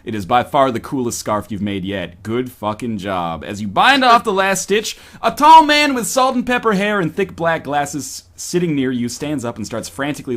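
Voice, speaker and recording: Lively man reading aloud playfully. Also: mid-range voice. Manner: playful, mock-dramatic, breaks into laughter. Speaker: streamer reading a book aloud. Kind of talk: comedic reading aloud with asides.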